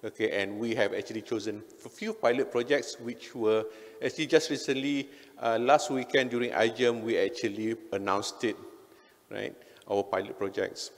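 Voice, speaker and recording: A man's voice: medium pitch, fairly even intonation, moderate pace. A middle-aged Malaysian-accented man presents at a conference in an informal, conversational way. His mid-range voice often adds short check-in tags. The sound is that of a stage microphone in a reverberant hall. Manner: conversational, frequent "right?" and "okay" tags. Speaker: conference speaker, engineer. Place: indoor hotel event hall on stage.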